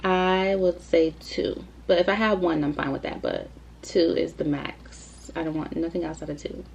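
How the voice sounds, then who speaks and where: A woman's voice: fairly low pitch, steady tone, normal speed, vocal fry, a little mellow, a little hiss or hum. A woman in her twenties chatting casually to the camera for her video Q&A, rambling with lots of fillers. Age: 20s. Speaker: YouTuber. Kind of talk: casual Q&A vlog.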